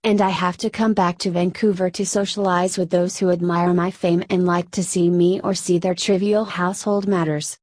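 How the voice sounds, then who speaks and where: A woman narrates a scripted story in the first person. Her voice sits a little on the low side for a woman. The sound is dry, with very little room in it. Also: flat delivery, moderate pace, few pauses, a little mellow, no room sound.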